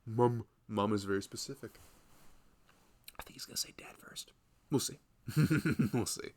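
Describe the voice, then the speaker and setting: A man playing a loving, nervous young husband and father-to-be in an intimate, rambling, soft-spoken ASMR roleplay. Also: a little slow. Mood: playful. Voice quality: low, gravelly voice. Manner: rambling, soft-spoken, chuckling, often drifting toward a murmur.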